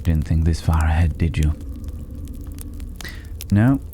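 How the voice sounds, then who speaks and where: A man playing a mysterious, worldly stranger in a dragon's hoard in an ASMR fantasy roleplay, mocking and condescending, with a languid, teasing delivery and drawn-out pauses. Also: very deep voice, warm tone. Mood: taunting. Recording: clearly noisy ambient background.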